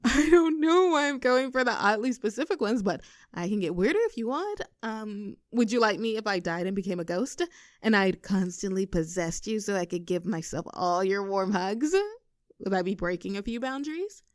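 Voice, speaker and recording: A woman playing a playful, clingy young girlfriend in an intimate roleplay audio, teasing and cooing in an animated, affectionate way. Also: mid-range voice, moderate pace, dry recording, studio quality, natural voice. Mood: curious, teasing. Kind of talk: intimate girlfriend roleplay audio, scripted one-sided conversation.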